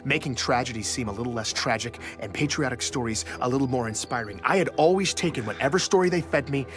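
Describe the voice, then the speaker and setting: A man's voice: medium pitch, fast, close to an everyday voice. A man playing a proud, wronged journalist, telling his story in a confiding, wry first-person audio drama narration. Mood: bitter, resolute. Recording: music under the voice.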